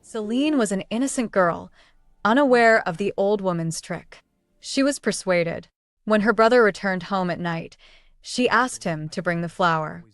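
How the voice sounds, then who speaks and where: A woman's voice: mid-range voice, normal speed, no room sound, studio quality. A woman reading a scripted fairy tale as its narrator. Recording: music under the voice. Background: prominent music.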